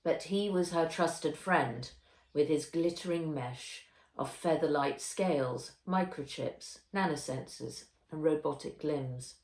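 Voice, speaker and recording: Low-voiced woman reading a children's story. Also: slow pace, darkish tone. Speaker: author reading own novel aloud. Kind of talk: children's audiobook narration. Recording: roomy echo.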